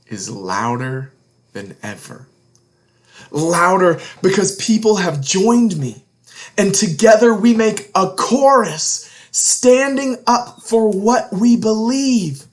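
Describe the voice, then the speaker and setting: A man reading a children's story aloud as a read-along host, earnest and deliberate, with dramatic emphasis and hushed phrase endings. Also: high voice.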